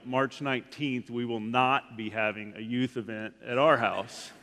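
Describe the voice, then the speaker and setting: A man's voice: medium pitch, pushed and bright. A man in his forties, a guest speaker giving a casual, rambling sermon in a church, full of "like" and restarts. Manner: rambling, frequent "like" fillers and restarts. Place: church sanctuary. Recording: long hall reverb.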